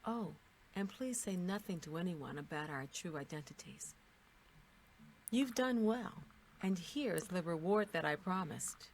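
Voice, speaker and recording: Low-voiced, composed female town guard. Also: lively intonation, a little slow, slight background noise. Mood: dismissive, wry. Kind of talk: scripted fantasy video game dialogue.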